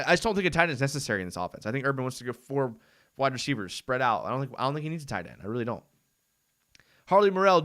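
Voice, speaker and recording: A man's voice: medium pitch, a bit bright. A young man hosting a casual live fan show, rambling in a laid-back way with lots of fillers, his voice tired and starting to go from talking so long. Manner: laid-back, rambling, frequent "dude" and "I mean". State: voice going hoarse from long talking. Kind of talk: casual live-stream chat.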